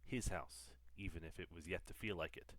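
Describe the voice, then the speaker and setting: Low, dry male story narrator. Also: a little fast, fairly bright tone, dry recording. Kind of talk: scripted audio drama narration.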